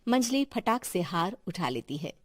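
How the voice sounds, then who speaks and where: A woman's voice: on the low side, big pitch swings, moderate pace, no echo, studio quality. A woman storyteller reading scripted narration for a family tale.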